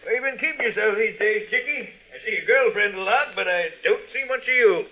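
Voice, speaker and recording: Casting: talkative small-shop pharmacist, high-pitched, folksy and inquisitive, old-time radio serial. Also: male voice, lively intonation, fairly quick, bright, forceful tone, exaggerated voice, low-quality recording. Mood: chatty. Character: chatty, friendly neighborhood druggist. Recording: vintage radio recording.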